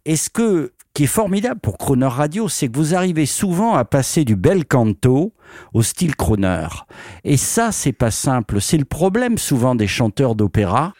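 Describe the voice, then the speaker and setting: A man's voice: medium pitch, big pitch swings, normal speed, a little mellow, no echo, clean studio sound. A man hosting a radio interview, effusive and complimentary with his guest. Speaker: radio host.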